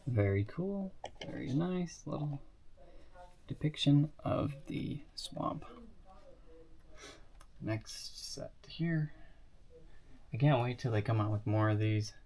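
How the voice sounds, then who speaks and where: A man's voice: medium pitch, animated, takes it slowly, pauses often, darkish tone. A sick, sniffly man casually showing off toy sets in a rambling way, with lots of fillers and asides. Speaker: hobbyist reviewing toy building sets. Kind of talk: casual show-and-tell.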